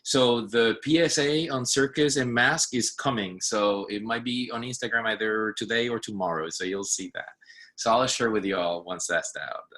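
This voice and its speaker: A man with a low but bright, forceful voice talks casually on a video call. He is lively and self-deprecating, tripping over restarts and laughing them off. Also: normal speed. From Puerto Rico. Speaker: artistic director and faculty member.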